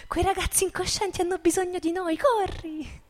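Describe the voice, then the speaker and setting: Woman acting a very high, urgent calling companion. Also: steady tone, normal speed. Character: remembered beloved companion urging someone to run.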